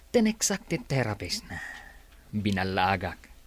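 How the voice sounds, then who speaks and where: Low, swooping scheming villain. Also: male voice, huge pitch swings, slow, full character voice. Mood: gloating. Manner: smug, villainous. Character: gloating, scheming male villain cousin in business. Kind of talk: radio drama acting.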